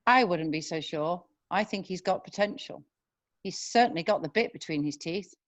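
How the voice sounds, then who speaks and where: A woman playing a polite, quietly confident male cavalry captain in an amateur screenplay read-through. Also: on the low side, normal speed, close to an everyday voice.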